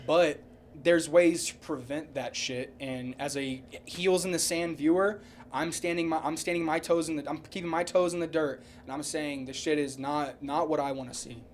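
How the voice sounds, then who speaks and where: A man's voice: a little high, fairly even intonation, normal speed, slight background noise. A man in a casual podcast debate, talking emphatically with repeated restarts and frequent swearing. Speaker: podcast co-host. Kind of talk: casual argumentative chat about movies.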